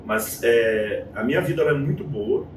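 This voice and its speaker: A man's voice: medium pitch, moderate pace. Brazilian man telling stories in an echoey room. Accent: Brazilian Portuguese. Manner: animated storytelling, acting out quoted voices. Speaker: guest. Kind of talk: casual interview chat. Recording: echoey room, distant mic, noisy.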